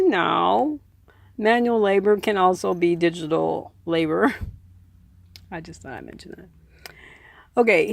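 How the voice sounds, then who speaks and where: A woman reading and explaining a self-help book in measured, deliberate phrases, in the style of an informal audiobook. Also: a little high, creaky, dry recording. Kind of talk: audiobook-style reading with casual personal asides.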